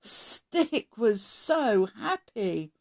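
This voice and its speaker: A woman narrating a children's story as a read-aloud host, expressive and measured in her delivery. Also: a little high, creaky.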